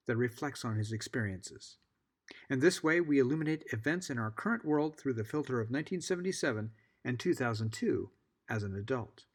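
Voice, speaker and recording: A middle-aged American man giving a scripted podcast introduction, articulate and measured, with wry asides. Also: mid-range voice. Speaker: podcast host.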